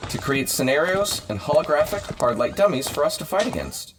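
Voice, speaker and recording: A man acts as a touchy superhero scientist in an audio drama. He is earnest and defensive, with a lively, swinging pitch over faint noise. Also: a little fast. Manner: defensive, explanatory.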